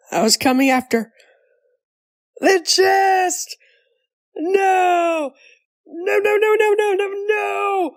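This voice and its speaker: Lady doing a earnest salty pirate mare for a cartoon dub, high voice, takes her time. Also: drawn-out words, pauses often, exaggerated voice. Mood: distraught. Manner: nautical pirate talk, earnest. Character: plucky female pirate pony with a salty sailor's tongue. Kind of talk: animated comic dub voice acting.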